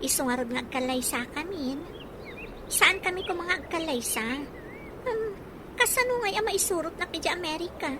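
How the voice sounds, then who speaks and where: High-voiced scheming young Ilocano woman, noisy. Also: animated, normal speed. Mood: calculating. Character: ambitious, scheming young woman chasing America. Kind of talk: Ilocano radio drama acting.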